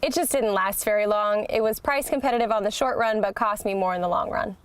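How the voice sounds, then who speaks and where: A woman's voice: mid-range voice, fairly quick, close to an everyday voice. A woman playing a busy, plainspoken construction equipment manager, answering as the customer in a scripted business phone call. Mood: matter-of-fact. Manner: matter-of-fact, plainspoken. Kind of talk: scripted business sales call role-play.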